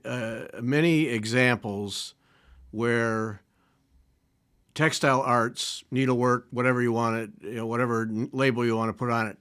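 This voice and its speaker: A man hosting a podcast and interviewing a guest, smooth on sponsor reads and halting when he asks questions. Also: mid-range voice, fairly even intonation, fairly bright tone, no room sound, clean studio sound. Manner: halting, restarts and fillers when asking. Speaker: podcast host.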